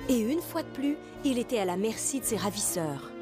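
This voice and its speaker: A woman's voice: fairly high pitch, animated, brisk. A woman narrating a scripted children's cartoon story in French as its storyteller. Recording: loud music under the voice.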